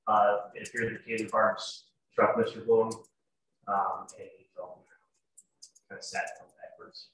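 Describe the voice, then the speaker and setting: A very echoey, distant recording of a young man testifying in court. His voice is low and stays flat, with a halting, procedural delivery of an officer on the witness stand. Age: young adult. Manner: halting, matter-of-fact, procedural. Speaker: sheriff's deputy testifying as witness. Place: courtroom, heard over video call. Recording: echoey courtroom, distant mic, faint noise.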